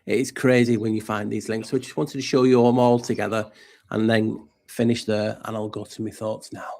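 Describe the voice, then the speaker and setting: A man's voice: fairly low pitch, level tone, brisk, gravelly, darkish tone. A man on a panel, casually explaining and laying out connections he has found.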